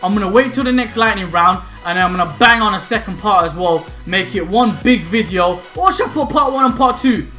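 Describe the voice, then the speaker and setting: A man's voice: high-pitched, expressive, fairly quick, hardly any pauses. A young man giving hyped, slangy commentary while opening game packs at home. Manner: hyped, shouting and laughing, slangy banter. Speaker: gaming YouTuber. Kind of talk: excited pack-opening commentary. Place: small home room, indoors. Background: faint background music.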